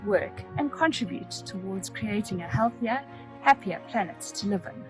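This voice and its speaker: Upbeat woman over loud music. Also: medium pitch, animated, normal speed, low-quality recording. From South Africa. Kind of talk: promotional video statement.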